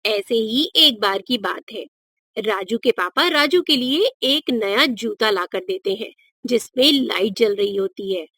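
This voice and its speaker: A woman narrates a children's moral tale at a very unhurried pace. Her voice is fairly high, and the recording is dry and close. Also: expressive, sharp tone, dry recording.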